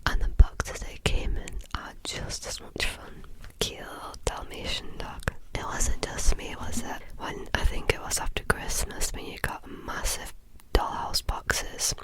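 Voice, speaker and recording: A woman, reading a bedtime story in a soft ASMR whisper. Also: rough recording. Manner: soft, slow, lilting whisper.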